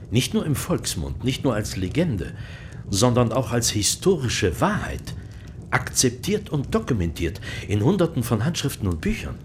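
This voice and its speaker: An actor playing a learned, cautious monsignor in a German radio drama, speaking with courteous, articulate deference. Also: male voice, mid-range voice, a little hiss or hum, close to an everyday voice.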